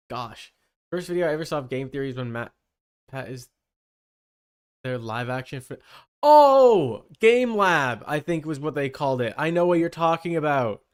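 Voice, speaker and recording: A man chatting casually on a livestream, rambling and thinking out loud. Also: on the high side, clean tone. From Canada. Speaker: streamer.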